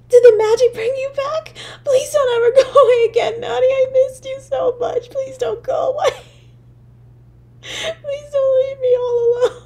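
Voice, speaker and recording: A woman voicing a poor, lonely little girl in an audio drama, halting and breathy, with childlike stammering. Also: extremely high pitch, a little mellow, exaggerated voice. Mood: overwhelmed, tearful. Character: poor, lonely, freezing little match girl, timid and yearning. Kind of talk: solo audio drama monologue.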